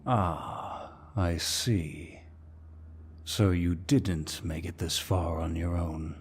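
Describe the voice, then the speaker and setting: A man playing a suave, dominant demon lord who speaks in a deep, husky voice with mocking courtesy, as in an ASMR villain roleplay. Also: steady tone, slight background noise. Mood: condescending. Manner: slow, mocking, mock-courteous, theatrical.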